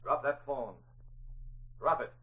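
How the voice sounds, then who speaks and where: An actor playing a menacing masked vigilante in a 1940s radio drama, giving curt, commanding orders. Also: male voice, medium pitch, slow, slightly rough. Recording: muffled old radio recording.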